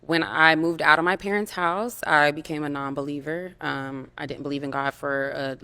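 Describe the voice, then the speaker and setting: A woman in her twenties, a dating show contestant, speaking earnestly and hesitantly with many pauses and ums. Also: deep voice, steady tone, bright, forceful tone, clear, resonant tone, no room sound. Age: 20s. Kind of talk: candid unscripted conversation.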